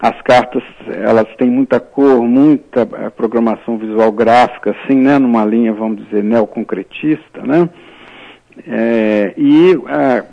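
A man's voice: medium pitch, gravelly, highs cut off. A man, a writer, talking as a guest in a radio interview, speaking thoughtfully and hesitantly, with many fillers and restarts.

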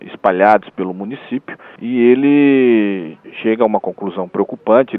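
Man speaking over a phone line. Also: fairly low pitch. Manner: hesitant, frequent restarts and tag questions. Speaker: newsletter editor, radio guest. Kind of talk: radio interview answers.

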